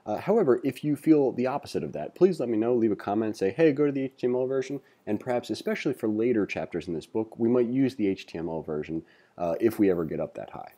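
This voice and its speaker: Low, mellow male tutorial host. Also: expressive, fast talker. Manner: informal, talks to the viewer with asides. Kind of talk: casual read-along coding tutorial.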